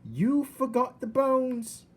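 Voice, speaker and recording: A man voicing a loud, simple-minded, hard-of-hearing servant girl in a storybook, with an eager, ungrammatical way of talking. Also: very high voice, sing-song, slow pace, breathy edge, voice pushed up, full character voice. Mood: loud, baffled. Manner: shouting, ungrammatical, dim and eager.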